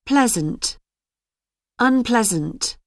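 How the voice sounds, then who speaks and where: Woman, slightly high voice, very slow, reads single vocabulary words as a pronunciation model. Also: lively intonation, no room sound, clean studio sound. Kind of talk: isolated word pairs read for learners.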